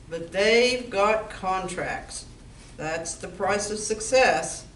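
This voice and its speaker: A woman playing a wry, practical bar owner in an amateur comedy play, speaking in a dry, businesslike way. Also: fairly high pitch. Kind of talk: amateur stage comedy radio play acting. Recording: echoey room from far off, background noise.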